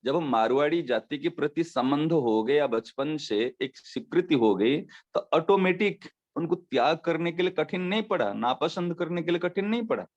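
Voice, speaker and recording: A man giving a devotional discourse as a spiritual teacher, explaining with everyday examples and slowly repeating his key phrases. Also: a little high, expressive. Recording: dull lo-fi recording.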